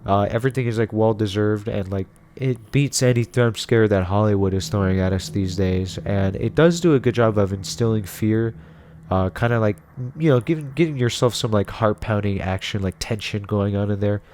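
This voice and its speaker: A man's voice: on the low side, moderate pace, darkish tone, a little hiss or hum. A man giving casual video commentary and recommendations in an informal, rambling, conversational way. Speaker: video host and reviewer.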